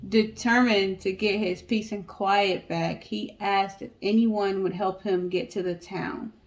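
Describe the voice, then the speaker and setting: Mid-pitched female storyteller. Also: steady tone, unhurried. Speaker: co-host of a story guessing show. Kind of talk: casual read-aloud storytelling.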